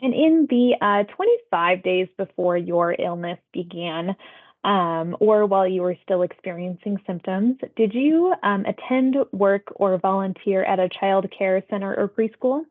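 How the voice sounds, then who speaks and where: A woman conducts a health survey over what sounds like a narrow phone line. Her voice sits at a medium pitch. She moves through scripted questions politely and conversationally, acknowledging answers as she goes. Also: a little slow. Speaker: public health phone interviewer. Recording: tinny phone-like audio.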